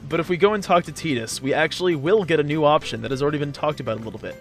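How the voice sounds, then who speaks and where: A man giving casual video game commentary, explaining in a halting, rambling way with sarcastic jokes. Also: fairly high pitch. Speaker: let's play host and player. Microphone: voice-over commentary recorded over game footage. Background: game music.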